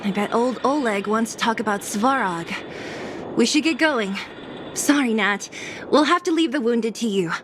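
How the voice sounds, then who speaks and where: A woman's voice: a little high, lively intonation, moderate pace, background noise, close to an everyday voice. A woman playing a tough but caring young resistance fighter in a video game, talking in a direct, casual way. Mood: reassuring. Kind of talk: video game cutscene acting.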